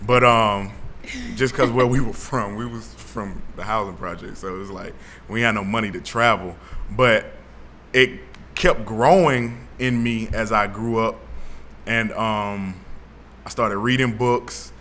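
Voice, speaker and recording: A young man from Atlanta, telling his story as an interview guest in a relaxed way with plenty of fillers. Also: fairly low pitch, unhurried, slight background noise. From Atlanta, Georgia. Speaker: guest, founder of an initiative. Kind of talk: casual interview talk.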